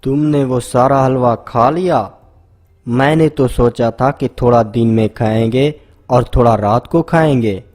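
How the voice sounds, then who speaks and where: A man's voice: mid-range voice, a little slow, a little mellow, natural voice. A man voicing an exasperated young husband. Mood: puzzled. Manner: exasperated, agitated. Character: young husband, puzzled and determined.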